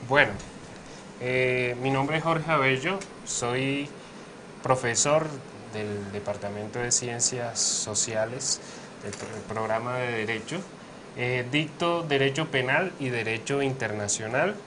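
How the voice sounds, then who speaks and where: A man's voice: mid-range voice, background noise. Colombian coast man explaining law. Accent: Colombian Caribbean coast. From Caribbean coast of Colombia. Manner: measured, with frequent hesitations and fillers. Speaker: law professor, guest. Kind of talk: academic interview explanation.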